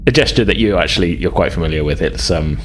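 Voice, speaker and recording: Guy gm-ing a tabletop rpg, deep voice, halting and improvised, slight noise behind. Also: expressive. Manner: hesitant, halting, casual. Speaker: game master. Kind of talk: improvised narration of a tabletop game.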